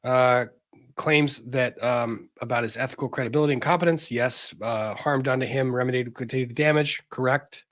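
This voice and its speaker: A man with a medium-pitched voice hosts a casual legal discussion. He talks bluntly and with exasperation, skimming through legal points in loose, salty language. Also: fairly quick, a bit bright. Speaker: host, legal commentator.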